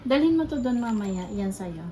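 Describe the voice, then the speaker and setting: A woman's voice: mid-range voice, expressive, normal speed, warm tone. A woman with a Filipino accent chatting casually and explaining a gadget hands-on in a demonstration. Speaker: vlogger unboxing and testing gadgets. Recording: clearly noisy background ambience.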